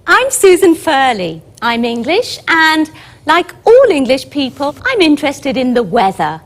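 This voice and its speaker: Woman acting as high, swooping chatty Englishwoman. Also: very animated, measured pace. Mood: cheerful, gushing. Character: charming, chatty Englishwoman, cheerful weather enthusiast. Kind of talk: scripted educational dialogue.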